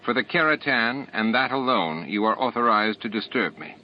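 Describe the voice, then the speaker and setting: A man's voice: mid-range voice, lively intonation, moderate pace, lightly acted. A man voicing a stern male alien military commander who barks curt orders in a science fiction audiobook. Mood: curt, commanding. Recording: dull lo-fi tape with clear background noise.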